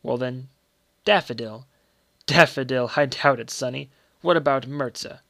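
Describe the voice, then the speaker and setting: A jovial gentleman uncle, played by a male reader in a storybook narration. He is hearty and teasing, with a creaky edge and a close, dry sound. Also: mid-range voice, a little slow, dry recording. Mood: sceptical. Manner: hearty, amused, colloquial.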